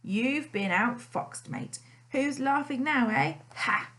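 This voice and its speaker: Woman doing bossy male fox villain, growling, smug, pushy and loud. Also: high voice, slow, voice pushed up. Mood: gloating. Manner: growling, snapping, smug threats. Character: sly bullying male fox, cocky blackmailer.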